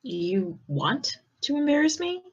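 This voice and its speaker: A woman playing a shy, quietly teasing young man in an amateur audio fan fiction, in an understated, hesitant way. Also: high voice, sing-song, slow, exaggerated voice. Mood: flustered, wry. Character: shy, smirking, easily embarrassed young man. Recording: slightly dull, lo-fi microphone.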